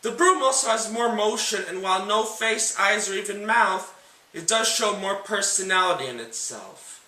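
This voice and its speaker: A man with a very bright, sharp, high-pitched voice hosts a scripted comparison piece. He speaks deliberately, enunciating each phrase like a presenter. The recording has a noticeably echoey room sound. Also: expressive. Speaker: narrator and host. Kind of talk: scripted book and film comparison narration.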